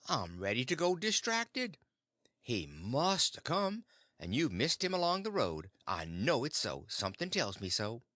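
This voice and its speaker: A man voicing an excitable, fretful farm wife in an audiobook, gushing and breathless. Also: fairly high pitch, unhurried, no echo, studio quality, voice pushed up, exaggerated voice. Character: excitable fussing middle-aged farm wife. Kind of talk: audiobook character voice.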